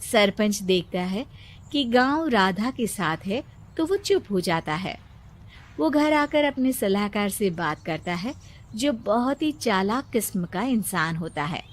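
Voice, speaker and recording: Medium-pitched woman narrating a story. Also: animated, unhurried.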